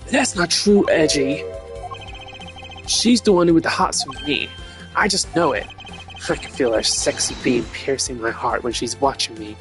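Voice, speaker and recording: A man voicing a whiny, excitable, lovestruck young man, a hapless murder suspect in a video game, blustering and indignant. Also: medium pitch, a little slow, music in the background, natural voice. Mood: smitten, insistent. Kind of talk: hammy video game dub.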